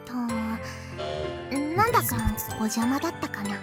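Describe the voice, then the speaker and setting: A woman's voice: a little high, animated, fairly quick, close to an everyday voice. A woman voicing a shy, teasing young girl in an anime-style game, in Japanese. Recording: clip with loud music.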